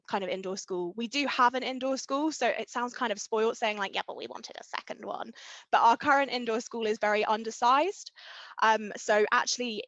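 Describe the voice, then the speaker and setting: A young woman, a riding coach, talking in a casual interview with lots of fillers and a loud, enthusiastic voice. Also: medium pitch, no room sound. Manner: chatty, many fillers like um and kind of. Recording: dull, muffled sound.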